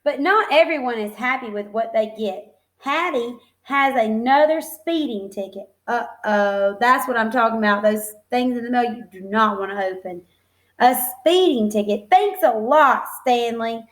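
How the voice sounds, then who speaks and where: A woman teacher reading a picture book aloud to young children, expressive and encouraging, pausing to explain things simply and ask questions. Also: fairly high pitch.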